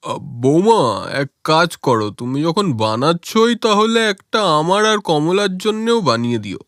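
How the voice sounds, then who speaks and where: A cheerful village family man played by a male actor in a cartoon. His voice sits on the high side and is recorded dry, with a coaxing, appreciative manner. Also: big pitch swings, moderate pace, fairly bright tone, dry recording. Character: cheerful food-loving village menfolk, sons and father, eager, coaxing.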